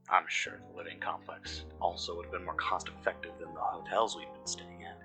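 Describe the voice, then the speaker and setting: A low-pitched, uneasy and cynical excavation worker , played by a man, narrates a mystery audio log. The delivery is measured and brooding, pausing mid-sentence. Music plays behind the voice. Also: close to an everyday voice, poor audio. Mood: wary, somber. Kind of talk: scripted first-person mystery narration.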